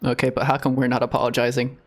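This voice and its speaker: A man's voice: mid-range voice, monotone, fast talker. A man giving casual live game commentary as a streamer, chatty and musing.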